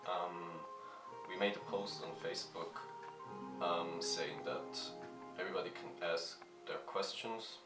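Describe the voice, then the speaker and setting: A man with a very low, deep voice talks haltingly and shyly, stopping often on fillers. He sounds some way off the mic in a roomy space, with music playing clearly behind him. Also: steady tone. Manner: shy, halting, many fillers and restarts. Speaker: musician answering fan questions. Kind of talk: hesitant casual talk to camera. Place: at home. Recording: echoey room, mic at a distance, music playing.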